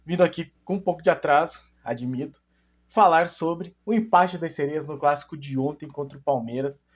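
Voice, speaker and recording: A man's voice: a little high, moderate pace. A young man hosting his football channel, casually analysing a women's match and rambling with many fillers and self-corrections.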